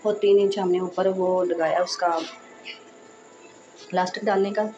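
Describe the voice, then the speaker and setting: A hoarse woman walks viewers through a sewing tutorial in a relaxed, reassuring way. Her voice sits low and stays flat, and clear music plays behind her. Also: a little slow, lo-fi sound. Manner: chatty and reassuring, frequent "accha ji". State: hoarse, sore throat. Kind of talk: casual step-by-step sewing tutorial.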